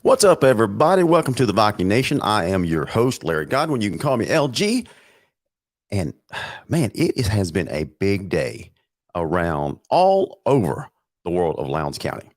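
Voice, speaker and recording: A man's voice: on the low side, very animated, moderate pace, no room sound, clean studio sound. Chatty man hosting sports talk. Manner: upbeat, chatty, jokey, repeats words. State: recovering hoarse voice after bronchitis. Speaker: sports talk show host. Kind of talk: live sports talk show monologue.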